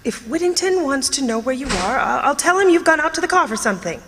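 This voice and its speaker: A woman's voice: high-pitched, sing-song, fast, fairly bright tone, a little hiss or hum, exaggerated voice. A woman playing an anxious, devoted companion to a sleuth in an old-time radio melodrama, worried and pleading. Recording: reverberant hall, faint music behind.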